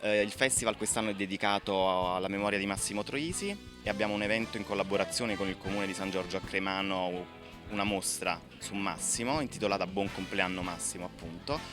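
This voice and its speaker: Low-pitched man, halting, over music. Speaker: festival deputy director, interviewee. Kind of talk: interview answer listing programme. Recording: music playing behind the voice.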